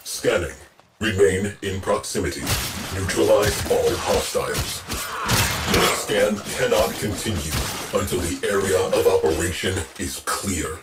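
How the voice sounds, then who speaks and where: A stern military ship intelligence from a video game, with a very low voice. Lines come clipped and commanding, with loud music behind them. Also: male voice, a little slow, echoey room, low-quality recording. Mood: businesslike. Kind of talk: scripted game mission dialogue.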